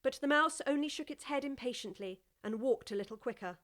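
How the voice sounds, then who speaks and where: A woman's voice: sits high, a little fast, fairly bright tone. A woman narrator reading a scripted children's audiobook story.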